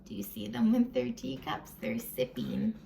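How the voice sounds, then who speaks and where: A woman hosting a read-aloud for young children, expressive and full of questions, sometimes dropping into a whisper, recorded at home. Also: a little high, normal speed, gravelly, a little hiss or hum. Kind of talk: animated children's storybook read-aloud with chat to the listeners.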